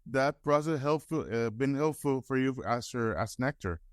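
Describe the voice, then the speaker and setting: Male interviewer, medium pitch, casual fan-like style, rambling questions, frequent false starts, dry close recording. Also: moderate pace, dry recording.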